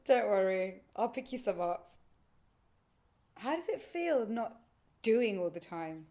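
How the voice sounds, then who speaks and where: A woman's voice: mid-range voice, very animated, slow, mellow. An actress playing a caring but firm, teasing woman in audio play dialogue. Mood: tender, amused.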